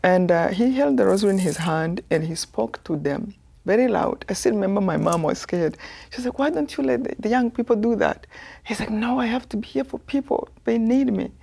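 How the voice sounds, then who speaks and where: A woman from Rwanda in her fifties with a Rwandan accent, telling her life story as a guest in an earnest, soft-spoken way. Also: medium pitch, brisk, vocal fry, slightly rough. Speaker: guest, author.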